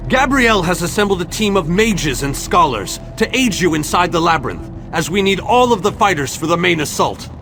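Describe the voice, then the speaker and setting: A man's voice: sits high, animated, a little fast, hardly any pauses, over music. A man acting an earnest ally in a fantasy game who briefs a friend on a mission in an encouraging way. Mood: earnest, urgent. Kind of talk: scripted fantasy game dialogue.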